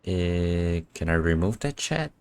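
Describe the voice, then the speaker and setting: A man with a low voice comments casually on a game as he streams it. He is dry and sardonic, and swears freely. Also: expressive, a little slow, smooth.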